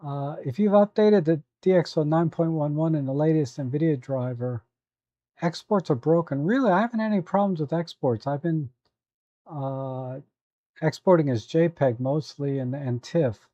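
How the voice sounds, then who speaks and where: Man, hoarse, livestream tutorial host, halting with fillers, slightly high, dark tone. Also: no room sound, dull audio. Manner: relaxed, halting, many fillers. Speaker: photo editing livestream host. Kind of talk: live tutorial chatting with viewers.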